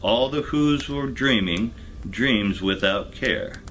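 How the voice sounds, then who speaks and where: A man narrating a rhyming children's storybook as a storyteller, in a deliberate, measured way. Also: medium pitch, animated, a bit bright, low-quality recording.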